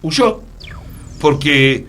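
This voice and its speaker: An actor playing an earnest, mysterious storyteller in a radio play, pausing for dramatic effect. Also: male voice, a little high, expressive, a bit bright, soft background music, heavily acted. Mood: grave storytelling. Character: earnest, mysterious male storyteller recounting an enchantment. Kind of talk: radio theatre acting.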